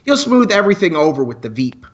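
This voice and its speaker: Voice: mid-range voice, sing-song, fast, lo-fi sound. An actor playing a wisecracking, teasing teenage student radio co-host in an amateur radio play, with playful mock-formality.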